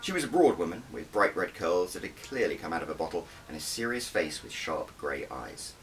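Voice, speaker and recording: Animated, deep-voiced male audiobook narrator. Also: brisk. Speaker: author reading own audiobook. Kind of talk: dramatic first-person scripted narration. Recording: distant mic with faint background noise.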